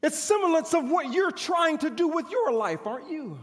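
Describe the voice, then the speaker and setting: Man playing a boastful ancient army commander, very high voice, swooping delivery, pompous stage monologue, dramatic pauses. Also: lively intonation. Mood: taunting. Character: proud, boastful male army commander of ancient Israel's era, bitter. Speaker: pastor performing a monologue. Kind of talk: theatrical stage monologue to an audience. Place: large church hall stage. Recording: echoey hall with long reverb.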